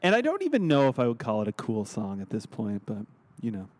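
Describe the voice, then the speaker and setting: A man's voice: lowish voice, huge pitch swings, brisk, darkish tone, no room sound. A man in his thirties hosting an interview, asking short questions and dropping dry one-liners. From Canada. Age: 30s. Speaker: podcast host. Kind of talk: casual interview chat.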